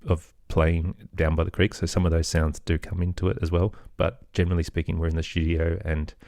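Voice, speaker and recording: A man's voice: low-pitched, little pitch movement, fairly quick, darkish tone, no room sound, studio quality. A man with an Australian accent, chatting casually as an interview guest about his work. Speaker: interview guest, children's podcast creator.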